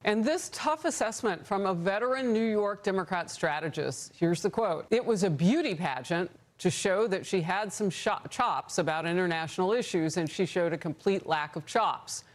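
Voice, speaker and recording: A woman's voice: on the low side, expressive, moderate pace, few pauses. A woman anchor reading a news report and quoting a source.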